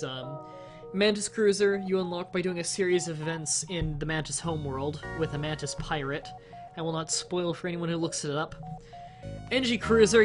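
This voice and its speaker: A slightly sick young man with a nasal voice, casually explaining a game in a rambling stream commentary. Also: on the high side. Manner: rambling, explains game mechanics with fillers and restarts. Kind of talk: casual let's-play commentary.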